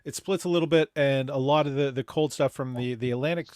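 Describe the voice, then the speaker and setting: A man's voice: mid-range voice, quick pace, darkish tone, dry recording, studio quality. A man chatting on a video call, rambling and hesitant with many fillers and restarts. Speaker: chat show co-host. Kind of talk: casual video chat.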